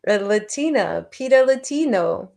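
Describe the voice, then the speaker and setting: A woman chatting casually on her livestream, taking her time over each phrase. She sounds animated and breaks into laughter easily. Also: medium pitch, unhurried, gravelly. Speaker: livestream host.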